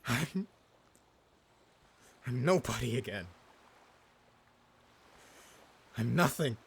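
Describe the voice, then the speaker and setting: A broken, desperate pirate overlord, gravelly and husky. He stammers and pleads in slow, grieving speech that swings widely, as heard in dramatic audio fiction. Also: mid-range voice, lively intonation, heavily acted. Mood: broken, pleading. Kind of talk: dramatic audio roleplay acting.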